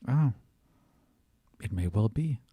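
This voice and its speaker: Man playing a grave, measured female teacher, low voice. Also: animated, mellow, breathy edge, dry recording, exaggerated voice. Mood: grave, cryptic. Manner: measured, terse, formal phrasing without contractions. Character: grave, guarded female temple teacher, cryptic and troubled.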